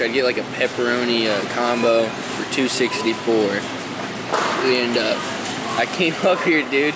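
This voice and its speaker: Male vlogger, twenties, American, mid-pitched and bro-ish casual chat, recorded on location with noticeable ambient noise. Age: 20s. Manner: bro-ish slangy address to viewers. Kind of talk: casual vlog chat to camera. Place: car, large warehouse stores, parking lot. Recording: noisy car and big-box store ambience.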